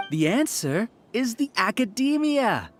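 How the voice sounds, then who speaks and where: A smug male mastermind scientist from a video game. He is coldly manipulative and theatrical, his pitch sweeping widely as he talks down to others. Music plays behind. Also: sing-song, takes it slowly, heavily acted. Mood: gloating. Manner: theatrical, mocking, condescending.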